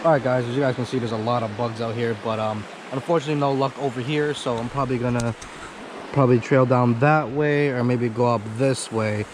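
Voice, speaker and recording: A man's voice: mid-range voice, steady tone. A young man chatting casually to his viewers in a laid-back, slangy way, like an outdoor vlog host, recorded outside on an action camera. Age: young adult. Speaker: vlog host, hobby angler. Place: outdoors on a rocky riverbank. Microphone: action camera at arm's length or body-held. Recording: clearly noisy outdoor recording, rushing river. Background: rushing stream water.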